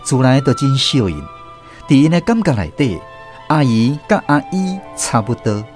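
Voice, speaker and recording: An actor with a Taiwanese accent narrating a family tale as the storyteller in a radio drama. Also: male voice, mid-range voice, very animated, moderate pace, a little mellow, soft background music, natural voice.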